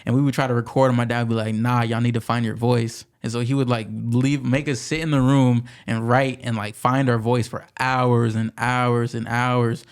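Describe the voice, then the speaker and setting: A man's voice: mid-range voice, little pitch movement, moderate pace, few pauses, smooth. A man in his twenties, a rapper from the Pacific Northwest, telling stories in slangy, laid-back podcast chat. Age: 20s. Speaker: rapper guest.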